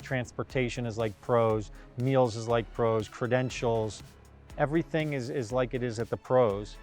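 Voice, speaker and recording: A man's voice: medium pitch, steady tone, measured pace, a little mellow, faint music behind. A man speaking in an interview as a tournament organiser, emphatic and repeating phrases to stress his points. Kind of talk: interview talk.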